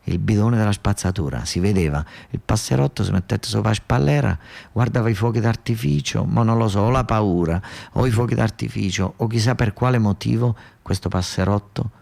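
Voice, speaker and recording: A man's voice: lowish voice, fairly quick, creaky, darkish tone. A man with a southern Italian, Neapolitan-flavoured accent performing a theatrical monologue, telling the story in a dramatic, earthy way with repeated emphasis. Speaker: actor-storyteller. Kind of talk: theatrical monologue narration with dialect.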